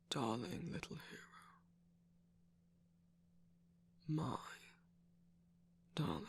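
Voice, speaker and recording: A man as a husky, tender villain. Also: a little high, heavily acted. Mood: tender, probing. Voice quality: husky, creaky, breathy. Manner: soft, deliberate, tender coaxing with whispered phrase endings. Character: affectionate, concerned male villain, slim and sickly. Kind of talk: intimate ASMR roleplay.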